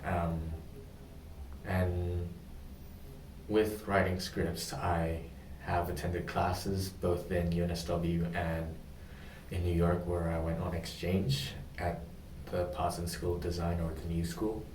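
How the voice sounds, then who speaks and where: A young man from Indonesia answers interview questions in a deep, flat tone, often stopping to search for words. He sounds distant from the mic, in a room with a noticeable echo and faint ambient noise. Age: young adult. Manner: hesitant, many pauses and ums. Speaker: job candidate in a practice interview. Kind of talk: mock job interview answers.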